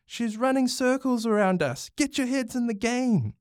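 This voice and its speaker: A man voicing a big, smug, hot-tempered schoolboy bully in a storybook, loud and domineering. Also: medium pitch, fairly even intonation, slow pace, clear, resonant tone, natural voice. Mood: furious, shouting.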